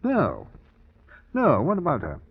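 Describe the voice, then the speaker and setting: A man's voice: fairly high pitch, wildly swooping pitch, unhurried, vocal fry, breathy edge, full character voice. An actor playing a patient, condescending English police inspector in colonial times, with old-boy politeness, in radio drama style. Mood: patient, probing. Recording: muffled old radio recording.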